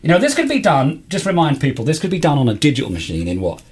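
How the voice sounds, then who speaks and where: A man's voice: on the high side, wildly swooping pitch, fast, husky. A man hosting a casual live radio show, chatting and explaining in a jovial, encouraging, matey way. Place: radio studio. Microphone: studio broadcast microphone.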